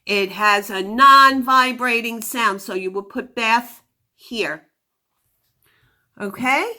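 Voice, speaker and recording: A woman teaching a phonics lesson to young children, giving deliberate instructions and sounding out words. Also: a little high, pushed and bright.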